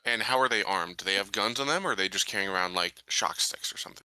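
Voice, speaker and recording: Very bright, crisp-edged low male voice. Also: fast talker, dry recording, low-quality recording. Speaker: tabletop game player. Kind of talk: casual game-table talk.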